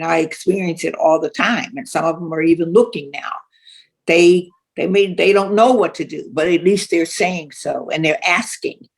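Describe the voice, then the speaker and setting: A woman speaking earnestly as a panelist, repeating phrases for emphasis. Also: on the low side.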